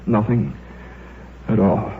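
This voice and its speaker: An actor playing a shy, stubborn middle-aged scientist and father, speaking haltingly in a 1950s radio drama. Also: on the low side, animated, vocal fry, heavily acted. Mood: weary, defeated. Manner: halting, hesitant, repeating himself. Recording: tinny, noisy old radio recording.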